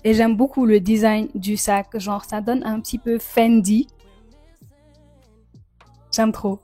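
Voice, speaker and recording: A woman's voice: mid-range voice, flat delivery, slow, mellow, faint music behind. A young woman from Senegal, a fashion vlogger chatting enthusiastically to camera and slipping in English words. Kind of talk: casual vlog talk to camera.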